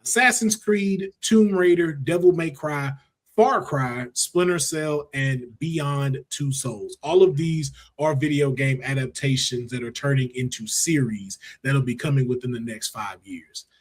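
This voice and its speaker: An American man hosting a casual podcast, talking in an animated, slangy and profane way. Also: medium pitch, a little slow. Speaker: podcast host. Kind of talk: casual podcast chat about movies and games.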